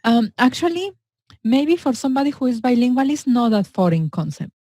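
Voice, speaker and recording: A woman whose first language is Spanish, joining in a lively discussion with halting phrasing. Her voice is mellow and warm, recorded close and dry. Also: sits high, dry recording. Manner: animated, laughing often, halting phrasing. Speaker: co-host. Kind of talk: conversational discussion.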